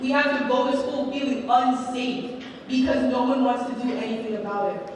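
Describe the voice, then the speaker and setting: A young woman student speaking out to a crowd, earnest and insistent, pressing each point twice. Her voice sits somewhat high and sounds distant, with a long hall echo and faint noise behind it. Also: moderate pace. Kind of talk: impassioned public statement. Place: large hall at a live event.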